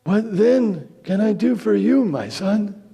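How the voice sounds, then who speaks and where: A man's voice: sits high, expressive, takes it slowly, gravelly, dark tone, slightly rough, voice pushed up, heavily acted. Man acting a solemn old patriarch, big echo. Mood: grave, sorrowful. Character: old blind patriarch father, solemn, blessing his son. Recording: reverberant church hall.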